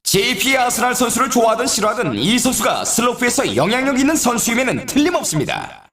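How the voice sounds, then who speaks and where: A man voicing an excitable, playful snowboard race announcer in a video game, with dramatic, showy delivery and drawn-out names. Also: high-pitched, heavily acted. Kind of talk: scripted sports commentary, event introductions. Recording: roomy echo on the voice.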